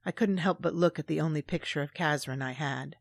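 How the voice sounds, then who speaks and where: A woman's voice: sits low, a little fast, gravelly, no echo. An actress playing a cold, vengeful female commander who narrates and plots in a space audio drama. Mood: measured narration. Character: cold, scheming vengeful woman, former Sith commander. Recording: dry studio with music underneath.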